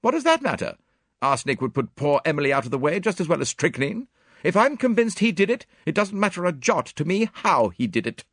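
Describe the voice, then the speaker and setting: A man's voice: a little high, big pitch swings, fairly quick, dry recording, studio quality, pitched above the performer's own voice, heavily acted. A man voicing a blunt, vehement Englishwoman with an emphatic, exclamatory manner in a storybook reading. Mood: indignant. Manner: emphatic, exclamatory, sharp-tongued. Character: blunt, vehement, agitated Englishwoman, fiercely indignant. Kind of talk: audiobook character dialogue.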